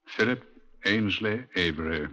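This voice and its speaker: Bright, animated senior policeman. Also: low voice, measured pace, exaggerated voice. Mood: explanatory. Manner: chatty, explanatory. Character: genial senior Scotland Yard officer, museum curator. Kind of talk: 1950s radio drama acting. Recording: muffled vintage 1950s radio recording.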